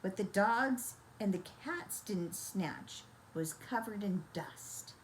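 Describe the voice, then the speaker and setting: An older woman storyteller reading a children's bedtime story in a gentle, expressive, grandmotherly manner. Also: medium pitch, slow pace, slight background noise, poor audio.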